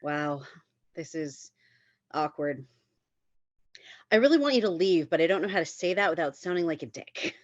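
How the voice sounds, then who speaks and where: A woman's voice: low voice, lively intonation, moderate pace, pauses often, dry recording. Lady playing smug casual bachelor guy in his 40s, evasive, dry slightly muffled sound. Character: smug, evasive handsome man in his forties.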